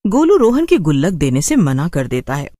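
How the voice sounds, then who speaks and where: A man's voice: on the high side, wildly swooping pitch, normal speed, dark tone, no echo, studio quality. A man narrating a scripted children's horror story as a storyteller.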